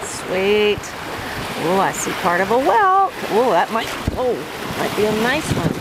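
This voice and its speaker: A woman chatting casually to camera in an excitable, exclaiming way, talking to herself as she narrates like a vlogger. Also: medium pitch, a little slow. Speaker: shell-collecting vlogger. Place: outdoors, wading in the sea at a beach. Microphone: handheld camera's own microphone. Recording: very loud wind and water noise.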